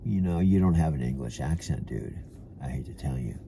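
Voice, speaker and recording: Older Californian guy, deep and very mellow, rambling self-talk in his parked car, keeps calling himself dude. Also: lively intonation, brisk, gravelly, a little hiss or hum. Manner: talks to himself as "dude", many restarts. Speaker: vlogger.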